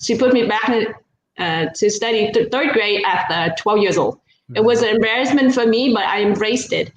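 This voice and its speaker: A woman's voice: fairly high pitch, normal speed. A woman from Vietnam with a Vietnamese accent, telling her life story in an earnest, modest way as an interview guest. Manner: modest, earnest, with small restarts. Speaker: guest, business partner. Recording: roomy with faint background noise.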